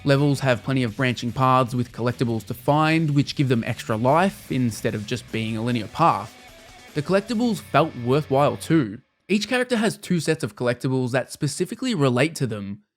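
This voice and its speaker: A man's voice: medium pitch, lively intonation, fairly quick, soft background music. A man narrating a scripted video game review in a casual, wry manner with the odd mild swear.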